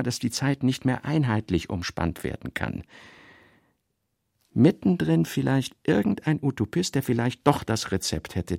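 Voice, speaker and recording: An actor playing a brooding novelist, reading literary prose and working notes in a deliberate, clipped manner for a radio play. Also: male voice, medium pitch, vocal fry, a little mellow, no echo, clean studio sound.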